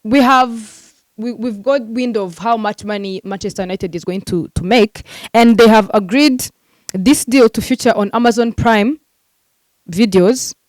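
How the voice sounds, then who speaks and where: A woman's voice: a little high, a little slow, dry recording. A woman hosting a football transfer news vlog, talking casually and animatedly to her viewers with lots of fillers, venting like a frustrated fan.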